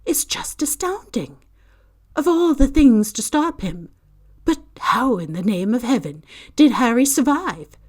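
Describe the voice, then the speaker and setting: A woman's voice: on the high side, expressive, unhurried, darkish tone. A woman voicing a stern older witch professor in a storybook reading, urgent and pressing, her voice raised and trembling. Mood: distraught.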